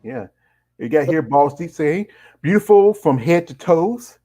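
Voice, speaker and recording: Middle-aged male host, rambling, full of "you know" fillers, slightly higher voice. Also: expressive, measured pace, warm tone. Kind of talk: casual live-stream interview.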